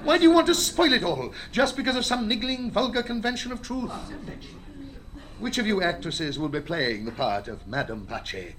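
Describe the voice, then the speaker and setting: High, animated, insistent father. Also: normal speed, a crowd in the background. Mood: indignant, insistent. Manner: theatrical, pleading delivery. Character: insistent, courteous, agitated father defending his strange story. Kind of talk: stage drama acting.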